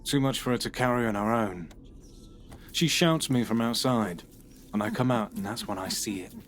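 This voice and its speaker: Man as a paranoid, bitter suspect in a horror audio drama, mid-pitched, over a hissy noisy track. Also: close to an everyday voice. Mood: uneasy. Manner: brooding, halting confession. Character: troubled middle-aged man, paranoid and bitter. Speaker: suspect being questioned.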